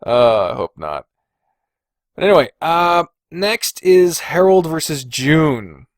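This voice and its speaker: A man talks unhurriedly as a solo podcast host, close and dry with no room sound. He deliberates out loud, hesitant and casual, and swears freely as he goes. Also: a little high, sing-song, no room sound. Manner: hesitant and deliberating, sighing, profane. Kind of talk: casual solo podcast commentary, thinking aloud.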